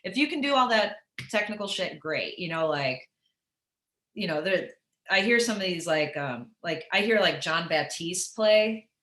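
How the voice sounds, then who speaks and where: A middle-aged woman with a raspy alto voice, chatting casually and candidly as an interview guest, swearing freely and peppering her talk with filler phrases. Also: on the low side, unhurried. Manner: animated, profane, peppers talk with "like" and "you know". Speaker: interview guest, musician and comedian. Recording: roomy echo.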